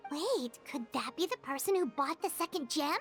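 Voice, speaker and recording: An extremely high, squeaky childlike voice over prominent music. The little game companion is excitable and inquisitive, with a lively, swooping delivery. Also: female voice, normal speed. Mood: urgent, inquisitive. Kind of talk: video game character voice acting.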